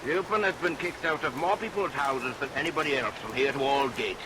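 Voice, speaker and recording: A man's voice: mid-range voice, a little fast, natural voice. Man playing a grumbling henpecked husband, noisy radio recording. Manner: dry, sardonic grumbling. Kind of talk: vintage radio melodrama acting. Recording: vintage radio recording under rain noise.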